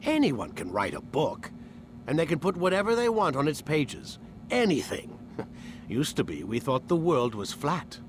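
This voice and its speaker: A patronizing male scientist who holds the upper hand in a video game scene. His articulate, sardonic speech swings widely in pitch, over clear background noise and a low rumble. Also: sing-song, moderate pace. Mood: scornful lecturing. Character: condescending, smug male scientist and captor. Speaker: game voice actor. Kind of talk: dramatic game cutscene dialogue.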